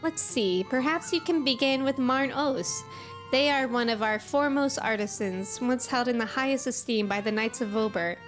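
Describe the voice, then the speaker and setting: A woman voicing a proud fae creature from a game, reading its dialogue in a formal, measured, old-fashioned way. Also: fairly high pitch, faint music behind, lightly acted. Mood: solemn, formal.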